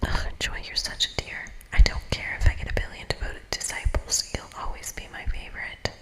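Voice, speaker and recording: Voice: moderate pace, bright, forceful tone, lightly acted, poor audio. A modern diva of a goddess as an ASMR reader puts on, delivered entirely in whisper. This game character is vain and dramatic, swinging between pompous and gushingly affectionate.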